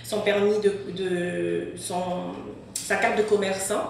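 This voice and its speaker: A French woman, a guest in an interview, answering haltingly with many fillers. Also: mid-range voice, little pitch movement, gravelly, a little hiss or hum. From France. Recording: echoey, distant mic.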